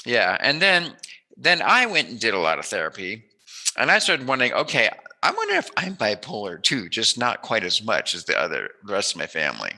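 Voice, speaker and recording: A man hosting a casual, joking interview, rambling and teasing and quick to laugh. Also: lowish voice, huge pitch swings, creaky, bright, forceful tone. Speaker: interviewer, host.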